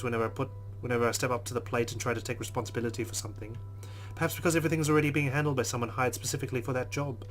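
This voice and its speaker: A man reads a game's first-person story aloud in an earnest voice at a middle pitch, then drops into casual asides. A faint hum sits under his voice. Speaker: let's play host. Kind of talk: reading game narration aloud with casual comments.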